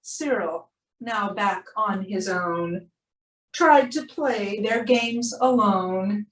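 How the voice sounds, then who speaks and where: A woman reading aloud to children in an expressive storybook style, drawing out key words for emphasis. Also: medium pitch, extremely slow. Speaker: animal shelter presenter reading a picture book. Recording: roomy, distant microphone.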